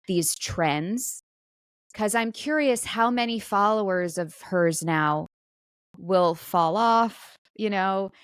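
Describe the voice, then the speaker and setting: A woman's voice: fairly low pitch, smooth, no echo, studio quality. A woman co-hosting a talk podcast, chatting casually in a conversational, musing way. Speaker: podcast co-host. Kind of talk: casual chat.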